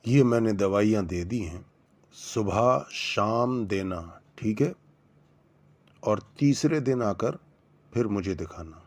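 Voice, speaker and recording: पुरुष के अभिनय में धीमे बोलने वाला दयालु डॉक्टर. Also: male voice, lowish voice, expressive, takes it slowly, no echo. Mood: instructive. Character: kindly, grateful male doctor. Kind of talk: animated story acting.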